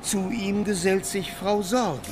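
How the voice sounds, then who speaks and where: An actor playing a reverent, florid court chronicler, narrating solemnly and deliberately in a radio drama. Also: male voice, high voice, steady tone.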